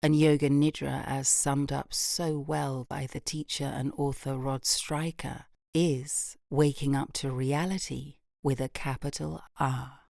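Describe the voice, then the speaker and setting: A British woman guiding a yoga nidra meditation in a soft, gentle, unhurried voice with long pauses, breathy at phrase ends. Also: a bass voice, little pitch movement, no room sound, clean studio sound. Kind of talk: guided meditation, slow and soothing.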